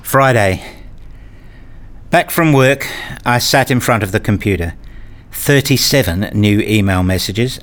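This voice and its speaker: A man's voice: medium pitch, a bit bright. A man playing a weary, exasperated husband and father in a radio play, narrating and reasoning in a placating way. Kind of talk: radio play dialogue and first-person narration.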